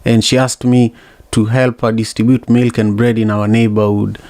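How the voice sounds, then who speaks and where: A man from Kenya hosting a podcast interview, asking questions in a measured, steady way. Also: lowish voice, no echo. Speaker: podcast host.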